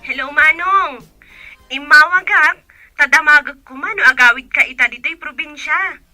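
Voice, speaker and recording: Very high, piercing young Filipino sibling. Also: female voice, normal speed, sharp tone, dry recording, faint music behind. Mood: eager, caring. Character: high-voiced younger sibling phoning an older brother. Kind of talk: Filipino radio drama acting.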